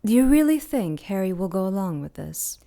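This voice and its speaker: A woman's voice: medium pitch, big pitch swings, fairly quick, warm tone. A woman playing an ancient demon queen in an audio drama, imperious, disdainful and threatening. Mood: skeptical. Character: ancient demon queen, haughty, menacing mother of monsters.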